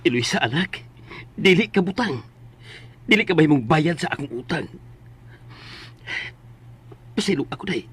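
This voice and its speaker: A man's voice: fairly high pitch, lively intonation, moderate pace, long pauses, fairly bright tone, husky, heavily acted. An actor playing a poor, protective older father in a Filipino radio drama, earnest, emotional and pleading. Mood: pleading, distressed. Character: poor, worried, protective older father, gentle but anguished. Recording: music bed behind.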